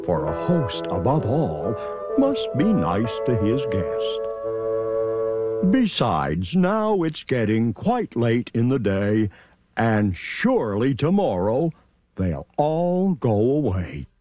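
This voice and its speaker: A man voicing a gentle, patient, big-hearted male moose in a storybook reading, slow and kindly. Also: medium pitch, wildly swooping pitch, over music, voice pushed down.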